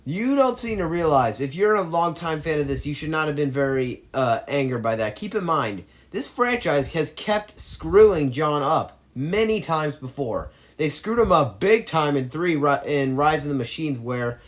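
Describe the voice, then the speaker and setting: A man's voice: a little high, lively intonation, moderate pace, few pauses. A man in an opinionated movie commentary, arguing his case emphatically and rambling through restarts.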